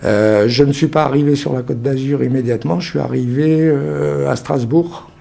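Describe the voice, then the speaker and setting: A man's voice: mid-range voice, moderate pace, vocal fry, mellow. An older man from Algeria, telling his story in an interview with many hesitations and restarts. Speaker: interviewee, local resident. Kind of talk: casual spoken testimony.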